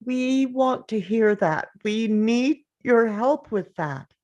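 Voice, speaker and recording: A woman plays a conciliatory father, speaking slowly and with care. The delivery is halting, seeking understanding in a scripted drama. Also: a little high, animated, darkish tone. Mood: careful, pleading. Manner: careful, halting. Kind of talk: scripted drama table read.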